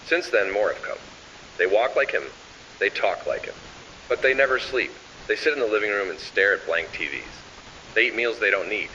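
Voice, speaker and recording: A man narrating scripted analog horror, addressing the listener in an ominous, deliberate and measured manner. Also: lowish voice, pushed and bright. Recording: dull lo-fi, distant, hissy.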